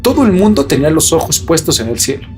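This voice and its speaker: A man hosts a podcast, reading scripted mystery narration with dramatic, deliberate phrasing. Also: a little high, very animated, faint music behind. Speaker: podcast host.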